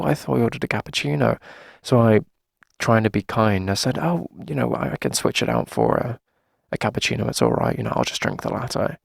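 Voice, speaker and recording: A man's voice: medium pitch, dry recording, studio quality, heavily acted. A man playing a friendly, flustered coffee shop owner in a casual, chatty ASMR roleplay, talking hesitantly as if to a favorite customer. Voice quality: creaky, gravelly. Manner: hesitant, chatty, sighing conversational delivery.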